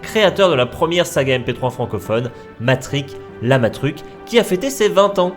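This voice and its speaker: A man playing a brisk, sarcastic office manager chairing a staff meeting in a comedy audio drama. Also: on the high side, very animated, soft background music. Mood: official, brisk. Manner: blunt, dry, addressing staff like a chairman.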